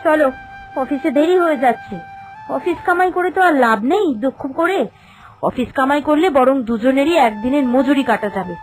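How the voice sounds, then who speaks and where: A woman voicing a melodramatic, excitable young wife in a cartoon, with an emphatic, plaintive delivery that repeats phrases. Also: high-pitched, brisk, faint music behind, lightly acted, low-quality recording. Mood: bitter complaining. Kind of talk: dramatic cartoon voice acting.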